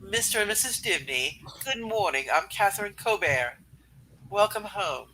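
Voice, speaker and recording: Polite, reassuring woman embassy chief, voiced by a man, high and bright, eager to help in a noisy amateur comic reading. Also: lively intonation, moderate pace.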